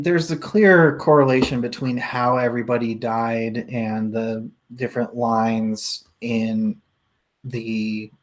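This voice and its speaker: A man in a casual book discussion, talking in an informal, rambling way as a co-host. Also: mid-range voice. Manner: rambling, informal, laughs often while talking.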